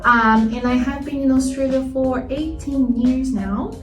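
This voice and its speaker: A woman's voice: sits high, unhurried. A woman with a Brazilian accent, a native Portuguese speaker, answering casually as a language teacher. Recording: roomy, distant mic, music behind.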